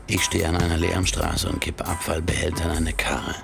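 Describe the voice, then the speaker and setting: An actor playing a cold, gravelly hitman, narrating in a measured, deadpan way for a German radio play. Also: low-pitched. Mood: cold, watchful. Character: cold male gangland hitman narrating his killing. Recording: studio radio play under loud music.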